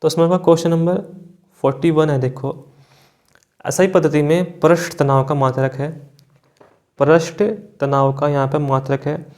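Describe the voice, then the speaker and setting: A man's voice: fairly high pitch, fairly even intonation, moderate pace, long pauses, a little mellow. A man teaching an online exam class, explaining question by question, repeating points and often adding short check-in tags. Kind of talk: online class working through multiple-choice questions.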